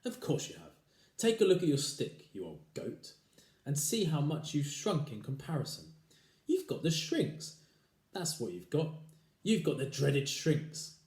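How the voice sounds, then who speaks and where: A man voicing a cruel old husband who taunts his wife with loud, slow, gloating lines. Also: mid-range voice, sing-song, voice pushed up, natural voice. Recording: echoey room.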